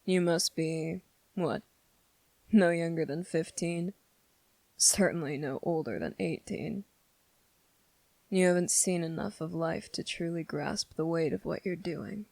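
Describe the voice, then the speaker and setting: A sardonic demon acted by a woman addresses the mortal who summoned it with smug condescension. The voice is on the low side with plenty of gravelly creak, very unhurried, with drawn-out pauses, in a dramatic ASMR roleplay. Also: a little mellow, no room sound. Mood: condescending, amused.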